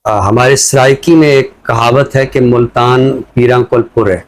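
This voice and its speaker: A man with a Pakistani accent and a mid-range voice talks as a scholarly commentator. He explains his points in a measured, reflective way while keeping a conversational style. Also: mid-range voice, steady tone. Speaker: scholar commentator on a talk show.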